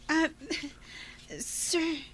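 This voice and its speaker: A woman's voice: extremely high pitch, steady tone, drawn-out words, a bit bright, exaggerated voice. A woman voicing a polite young nurse, newly hired and unsure of herself. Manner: polite, hesitant. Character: humble young nurse seeking work.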